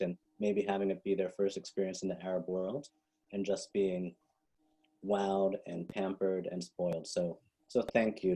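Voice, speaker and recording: Deep-voiced man hosting a webinar. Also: dark tone, husky, poor audio. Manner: grateful, earnest. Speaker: webinar host. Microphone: video call.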